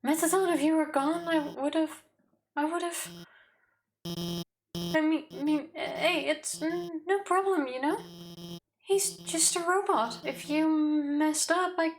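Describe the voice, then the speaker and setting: A woman's voice: sits high, expressive, slow, long pauses, slight background noise. A woman voicing a nervous, awkward female monster scientist who stammers and hesitates, in video game dialogue. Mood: panicked.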